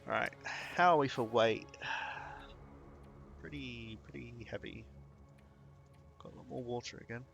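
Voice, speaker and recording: A man's voice: medium pitch, slow pace, creaky, long pauses, a bit bright, soft background music. A young British man chatting casually while streaming a game, rambling and chuckling between remarks. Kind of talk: live gaming commentary.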